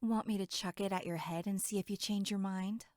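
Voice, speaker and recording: A woman's voice: medium pitch, steady tone, brisk, darkish tone, no room sound, clean studio sound, close to an everyday voice. A woman playing a brash, sarcastic young camp counselor in a horror audio drama, snarky and blunt. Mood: exasperated, snarky. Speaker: voice actor.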